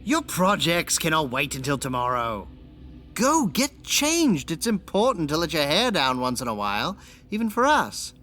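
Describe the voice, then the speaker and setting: A woman playing a commanding, scheming elder vampire regent in an audio drama, formal and theatrical with drawn-out emphasis. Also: deep voice, sing-song, a bit bright. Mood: imperious.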